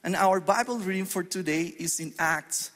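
A middle-aged man from Colombia with a Colombian Spanish accent, preaching a sermon in an earnest, measured way. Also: high voice, a bit bright. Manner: earnest, measured preaching with deliberate pauses on scripture. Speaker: pastor. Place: church hall. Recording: long hall reverb.

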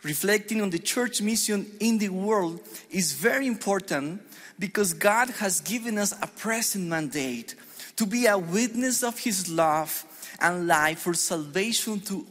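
A middle-aged Colombian pastor with a Colombian Spanish accent delivers an earnest, measured sermon. His voice sits high for a man, and it rings with the long reverb of a church hall. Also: a bit bright. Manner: earnest, measured preaching with deliberate pauses on scripture.

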